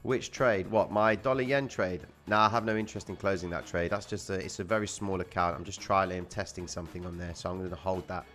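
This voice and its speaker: Young male livestream host, very low voice, slangy and relaxed, faint music behind. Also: fairly quick, hardly any pauses. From Cyprus. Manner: laid-back, slangy, answering chat. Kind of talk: casual livestream chat reading viewer comments.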